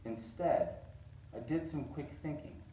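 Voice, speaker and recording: A man reading a rhyming children's story aloud in an expressive, narrating way. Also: mid-range voice, measured pace, a little hiss or hum. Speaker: storybook reader. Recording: roomy, distant, dull sound.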